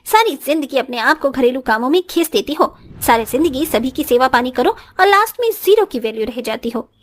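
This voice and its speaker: An actress plays a spoiled young career woman in a Hindi moral cartoon. Her voice sits very high and swings sharply up and down, full of scorn and money pride, with English phrases thrown in. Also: expressive, a little fast. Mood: haughty. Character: haughty, spoiled young working daughter-in-law who flaunts money. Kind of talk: moral story cartoon acting.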